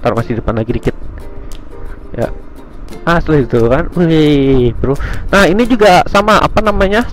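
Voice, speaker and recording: A man with a Jakarta-area accent talks to his viewers from a moving motorbike. His voice sits a little high for a man, and his delivery is casual and full of slangy exclamations. Some music plays behind him. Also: lively intonation, moderate pace, rough recording. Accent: Jakarta-area Indonesian. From Indonesia, Tangerang near Jakarta. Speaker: motovlogger. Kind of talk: casual chat to viewers while riding. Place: outdoors on a moving motorbike on a country road.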